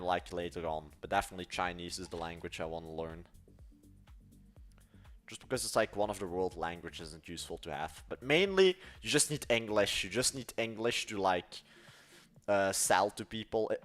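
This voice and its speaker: Young Dutch-accented man, low-voiced and rambling. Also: a bit bright. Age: young adult. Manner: rambling, self-correcting, chatty. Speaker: livestream host. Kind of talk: casual livestream chat.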